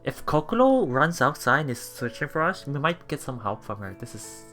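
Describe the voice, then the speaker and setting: A let's player reading a visual novel aloud in a halting way, with emotionally invested asides. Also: medium pitch, big pitch swings. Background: game music.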